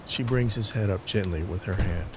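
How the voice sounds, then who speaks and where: A man's voice: fairly low pitch, moderate pace, background noise. A man narrating a scripted audio drama, reading scene descriptions as the narrator.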